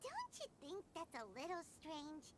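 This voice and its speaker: A woman voicing a small, chatty, curious childlike companion in a video game, with an excitable manner. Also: very high-pitched, unhurried, heavy background noise, heavily acted. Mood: excited, curious. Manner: excitable, talks of self in third person.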